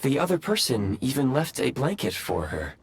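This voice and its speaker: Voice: mid-range voice, very animated, moderate pace, a little hiss or hum, close to an everyday voice. An actor voicing a polite, analytical talking machine in a video game, formal, clipped and precise. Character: self-aware ancient talking goggles, polite analytical machine. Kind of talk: robotic video game character acting.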